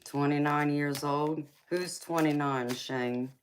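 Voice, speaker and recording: Very low-voiced, slow woman. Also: a little hiss or hum. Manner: relaxed, chatty, laughs often, calls viewers honey and baby. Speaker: tarot reader chatting with live viewers. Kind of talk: casual live-stream chat reading comments.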